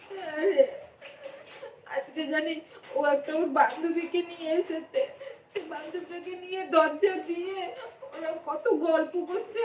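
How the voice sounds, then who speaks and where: A woman acting as a neglected young wife, meek and hurt. Her high voice is plaintive and confiding, in amateur drama style, caught in an echoey room with faint noise. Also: a little mellow, recorded from a distance.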